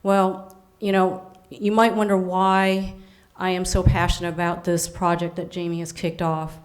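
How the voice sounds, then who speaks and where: A woman's voice: fairly low pitch, flat delivery, measured pace. A middle-aged woman from San Francisco giving an earnest personal testimony at a conference, with frequent fillers and restarts. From San Francisco, USA. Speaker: conference speaker.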